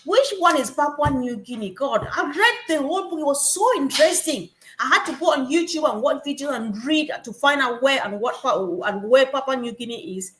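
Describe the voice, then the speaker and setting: A high-voiced woman speaks with animated passion to a gathering, circling back over her points. Her voice rings around a reverberant room. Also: fairly quick, few pauses, a little hiss or hum. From West Africa. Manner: animated, repetitive, laughing asides. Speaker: guest speaker. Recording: echoey room.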